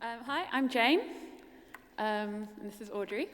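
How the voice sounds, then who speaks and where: A woman's voice: fairly high pitch, animated, measured pace, fairly bright tone, a little hiss or hum. A woman with a London accent giving a keynote talk as an architect, casual and full of um and kind of. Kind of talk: conference presentation. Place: lecture hall at a forum. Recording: long hall reverb.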